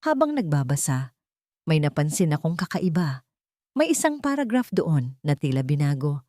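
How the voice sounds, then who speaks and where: A woman's voice: low voice, very animated, normal speed, warm tone, no room sound, clean studio sound. A woman reading a scripted first-person dramatic story as its narrator.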